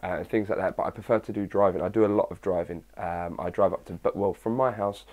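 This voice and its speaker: A young man talks loosely to his viewers, his voice a little husky from the early hour. He sits a bit low in pitch and wanders through his answers with plenty of fillers and restarts. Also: steady tone, quick pace, a little mellow. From England. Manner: chatty and rambling, with many fillers. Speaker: fitness vlogger answering viewer questions. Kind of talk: casual chat to camera.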